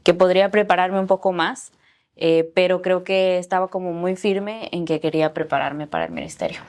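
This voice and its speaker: Woman in her twenties from Ecuador, guest voice, somewhat low, soft and halting delivery. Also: lively intonation. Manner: soft-spoken, hesitant with many fillers. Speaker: graduating seminary student, guest. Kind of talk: interview answers.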